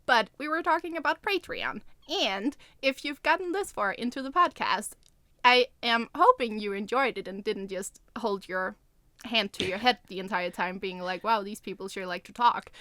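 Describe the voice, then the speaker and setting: Woman podcast cohost, bright close dry sound, rambly and jokey about herself. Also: mid-range voice, moderate pace, dry recording. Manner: jokey, self-deprecating, rambling. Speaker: podcast co-host and writer. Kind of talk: casual chat.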